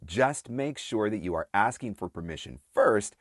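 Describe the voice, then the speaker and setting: Male coach, slightly low voice, dry close sound, highly animated and emphatic advice delivery. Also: moderate pace, no echo. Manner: emphatic, repeats words for stress. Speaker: voice-over marketing coach and video host. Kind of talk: direct-to-camera advice talk.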